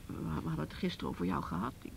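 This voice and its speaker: A woman's voice: big pitch swings, very fast, vocal fry, heavily acted. An actress in a radio play playing an anxious, distraught mother who stammers and repeats her words. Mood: worried. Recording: faint noise and low rumble.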